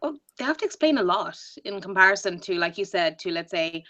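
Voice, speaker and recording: A woman joining a casual book discussion, chatty and acting out quick reactions in asides. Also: medium pitch, animated, bright, forceful tone. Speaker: book club panelist.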